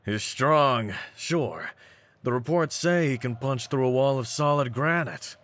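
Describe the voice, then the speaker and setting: Casting: male actor for cocky brawler champion, deep voice, streetwise slang, mocking confidence, in-character roleplay audio. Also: unhurried, fairly bright tone, lightly acted. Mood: mocking, assured. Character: cocky, brawny half-breed pit-fighting champion, hyping his partner.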